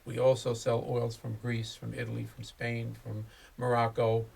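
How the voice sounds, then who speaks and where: Gravelly, hesitant store owner. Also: male voice, medium pitch, completely flat delivery, normal speed, darkish tone, recorded from a distance, low-quality recording. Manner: hesitant, lists items plainly. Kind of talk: radio interview.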